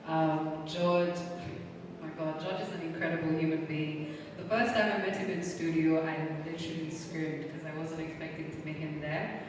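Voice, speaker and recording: A voice actress giving a gracious short thank-you speech to an audience. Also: female voice, low-pitched, recorded from a distance, background noise. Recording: echoey hall, mic from afar, crowd.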